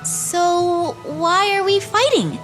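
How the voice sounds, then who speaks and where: A woman's voice: very high-pitched, takes it slowly, fairly bright tone, faint music behind, heavily acted. A woman playing a headstrong, naive young fairy princess in a fantasy game, girlish and eager. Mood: curious. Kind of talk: fantasy video game voice acting.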